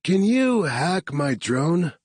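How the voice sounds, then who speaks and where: A man's voice: a little high, big pitch swings, slow pace, darkish tone, breathy edge, no room sound, voice pushed up, full character voice. A man voicing a frustrated young tech specialist in an audiobook. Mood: frustrated, urgent.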